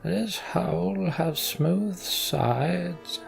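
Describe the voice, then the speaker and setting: A wheezy old giant from a fantasy game, pitched up and full of gravel. He is blunt and wry, and he drags his words out slowly. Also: soft background music, heavily acted. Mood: grim, knowing. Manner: slow, drawn-out delivery.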